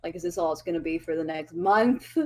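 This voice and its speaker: A woman in her twenties, a podcast guest calling in remotely, talking casually and swearing freely as she rattles off stories. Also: low-pitched. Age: 20s. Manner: chatty, swears freely, fast storytelling. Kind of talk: casual chat. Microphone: remote voice call.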